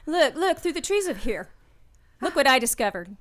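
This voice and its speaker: A woman's voice: sits high, big pitch swings, moderate pace, a bit bright. An actress playing a brash, fun-loving young city woman in a radio play, flippant and slangy. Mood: exuberant.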